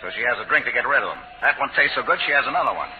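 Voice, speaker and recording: An actor playing a cruel, mocking, impatient gangster boss who barks orders in tough street slang, in 1940s radio drama style. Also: male voice, mid-range voice, lively intonation, rapid-fire, music in the background, natural voice. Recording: scratchy narrow-band 1940s radio recording.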